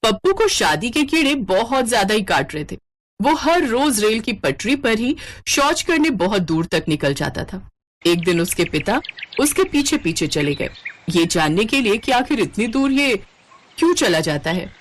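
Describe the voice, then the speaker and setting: A woman's voice: a little high, very animated, moderate pace. Woman narrating a cartoon story.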